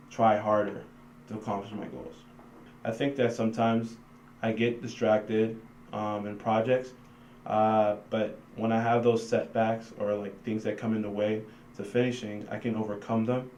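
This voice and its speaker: A young man with an American accent giving a personal testimonial as a student, with hesitant pauses. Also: on the low side, a little mellow. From Washington, D.C., United States. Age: young adult. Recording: echoey room, faint background noise.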